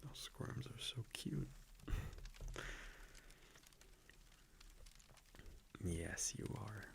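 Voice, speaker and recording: A man playing a gentle, affectionate vampire boyfriend in an intimate ASMR audio roleplay, speaking softly and often dropping into a whisper. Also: on the low side, takes it slowly, heavily acted. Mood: tender. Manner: soft-spoken, breathy, tender, often dropping to a whisper. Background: soft fireplace ambience.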